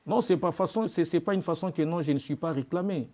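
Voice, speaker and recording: A man with a Congolese accent and a fairly high, very mellow voice answers in an interview. He argues emphatically and defensively, stumbling over and repeating his phrases. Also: a little fast. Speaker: guest, music animator.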